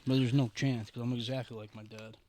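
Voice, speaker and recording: A young man with a Western New York accent riffs loudly on a casual podcast. He swears freely and jokes constantly. Now and then he croons a line of a song. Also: mid-range voice, flat delivery, fast, slight background noise. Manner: loud, profane, jokey, sings snatches of songs. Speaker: podcast co-host. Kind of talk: casual banter.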